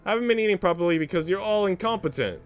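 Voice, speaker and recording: A man acts out a spoiled young noblewoman from a visual novel, haughty and petulant. The voice is pushed higher and swings about in indignant, snapping outbursts, with music underneath. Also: animated, fast talker, smooth.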